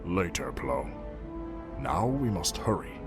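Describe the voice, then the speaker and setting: A man voicing a stern, wry old alien Jedi master in a comic dub, speaking formally and deliberately over music. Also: low voice, very animated, heavily acted. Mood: wry, commanding. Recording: loud music under the voice.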